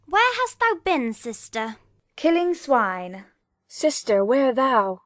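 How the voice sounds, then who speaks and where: A woman's voice: sits high, sing-song, slow pace, fairly bright tone, no echo, clean studio sound, full character voice. A woman playing an eerie witch, chanting Shakespearean verse in a dramatic audiobook reading. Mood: eerie, conspiring.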